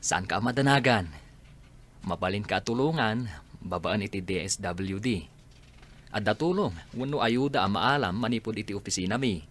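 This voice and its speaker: A man acting a friendly, concerned old friend in a radio drama, speaking in an animated way. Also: lowish voice, normal speed.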